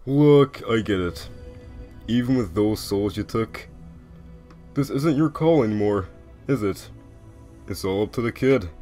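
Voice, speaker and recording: A man voicing a lazy, wry skeleton joker with quiet menace in a comic dub, talking in a laconic, folksy way. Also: fairly low pitch, lively intonation, a little slow, long pauses, faint music behind. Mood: calm, resolute. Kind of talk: animated comic dub acting.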